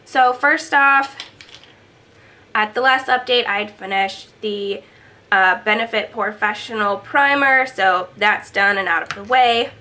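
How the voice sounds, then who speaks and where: Young woman vlogging at home, kinda stuffy nose from a cold, bright voice, lots of ums. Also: medium pitch, a little slow. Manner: chatty, upbeat, many ums. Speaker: beauty vlogger. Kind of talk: casual product update to camera. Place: small indoor room at home.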